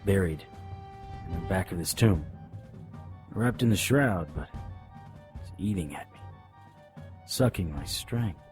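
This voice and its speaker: A man's voice: sits low, animated, takes it slowly, long pauses, a little mellow, faint music behind. A man playing a weakened, haunted champion resisting temptation in an audio drama, sounding strained and grim.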